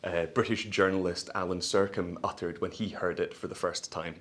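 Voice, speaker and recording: A man with a lowish voice speaks as a company presenter at a product launch. His delivery is polished and florid, the language of a sales pitch, broken by hesitant asides. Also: steady tone, normal speed, fairly bright tone.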